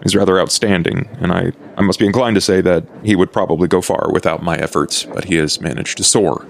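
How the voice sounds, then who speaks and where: A man voicing a courteous, measured old vampire in a tabletop roleplay podcast, speaking formally and deliberately. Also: fairly even intonation, a little hiss or hum. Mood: earnest, formal. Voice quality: low, gravelly. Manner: formal, deliberate, understated phrasing.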